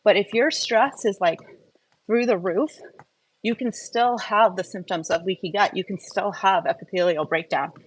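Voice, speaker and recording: A woman speaks in a medium-pitched voice as the host of a live online wellness talk. She explains informally, addressing her viewers directly. Her delivery is conversational, moving step by step and posing questions that she then answers. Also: normal speed, slightly rough, poor audio.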